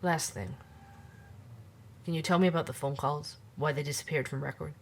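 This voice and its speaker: An actor playing a male podcast host who investigates cold cases, speaking in a calm, measured, explanatory way. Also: on the high side, creaky.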